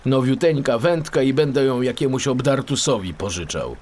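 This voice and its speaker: Man doing a gruff, scornful fisherman, swinging pitch. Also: animated, moderate pace, a little mellow, natural voice. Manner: mocking, brusque.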